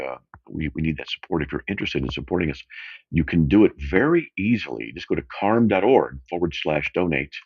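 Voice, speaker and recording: A middle-aged man hosting a radio call-in show, talking off the cuff in a casual, halting, meandering way with a gravelly, very deep voice. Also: no echo. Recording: dull, muffled lo-fi radio audio.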